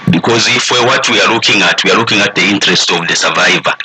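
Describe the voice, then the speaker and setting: A man's voice: lowish voice, quick pace, pushed and bright, slight background noise, low-quality recording. A man with a Zambian accent speaking as an expert radio guest, making emphatic, repeated appeals and switching languages mid-sentence. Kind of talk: conversational advocacy in a radio interview.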